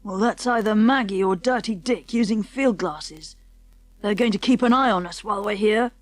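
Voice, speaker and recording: A woman voicing a confident, eager schoolboy adventurer with an English accent and hearty, brisk diction for a children's audiobook. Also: medium pitch, lightly acted. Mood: alert, eager. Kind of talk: children's audiobook character acting.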